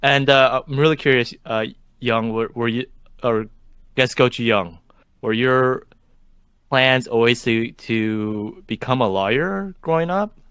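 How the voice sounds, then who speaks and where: A man's voice: medium pitch, a little slow, smooth, no echo, studio quality. A man co-hosting a casual podcast chat, teasing and quizzing his guest. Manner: teasing, lively interviewer. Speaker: podcast co-host.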